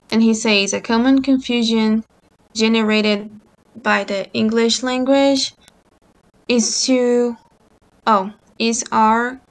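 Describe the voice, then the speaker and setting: Unhurried Portuguese-speaking woman on a call. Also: mid-range voice. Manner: slow, hesitant, many ums. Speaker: book club presenter. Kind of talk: casual video-call discussion, reading aloud. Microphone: video call.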